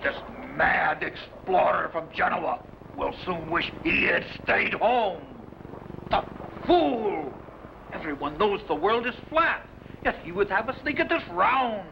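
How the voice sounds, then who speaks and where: A bitter, superstitious sailor in a vintage radio adventure. He speaks slowly and melodramatically, with a gravelly edge and big swings in pitch. The recording is dull and noisy. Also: sing-song, full character voice. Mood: scornful, plotting. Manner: melodramatic, sneering, ominous. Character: bitter, fearful, superstitious mutinous male Spanish sailor. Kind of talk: old-time radio adventure acting.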